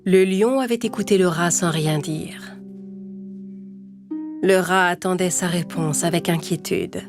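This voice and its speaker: A woman's voice: fairly low pitch, measured pace. A woman narrator reading a scripted animal fable for children in storytelling style. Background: music.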